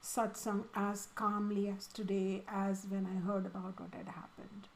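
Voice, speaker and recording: A woman's voice: medium pitch, flat delivery, measured pace, creaky, a little hiss or hum. A woman from India with an Indian accent, a spiritual teacher answering student questions in an earnest, emphatic way.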